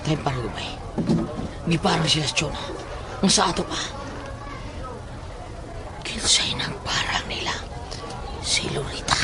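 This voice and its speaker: A man with a Cebuano accent playing an excitable, superstitious village friend in a horror audio drama. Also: a little high, measured pace, pauses often, in a whisper. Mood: hushed disbelief.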